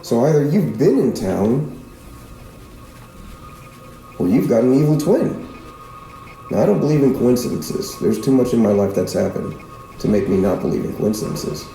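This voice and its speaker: A man playing a stern small-town lawman in a fiction podcast, questioning deliberately and suspiciously, with pointed pauses and a gravelly voice. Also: mid-range voice, lively intonation, warm tone. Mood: pressing, suspicious. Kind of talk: fiction podcast drama acting. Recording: roomy echo with music underneath.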